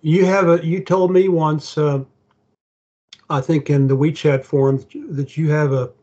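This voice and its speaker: A man hosts the interview, with a somewhat high voice that agrees eagerly and often. His audio sounds dull and lo-fi. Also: level tone, unhurried, warm tone. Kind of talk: casual interview talk.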